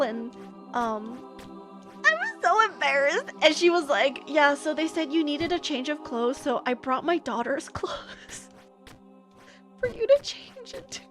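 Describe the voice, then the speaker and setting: A woman's voice: high-pitched, sing-song, a little slow, fairly bright tone, soft background music. A young American woman streamer telling a story in a casual, rambling way, with many fillers and restarts. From United States. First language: English.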